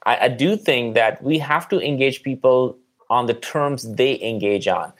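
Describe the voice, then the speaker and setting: A man's voice: mid-range voice, measured pace, fairly bright tone. A man speaking as a marketing guest in an interview, conversational and earnest, repeating words for emphasis.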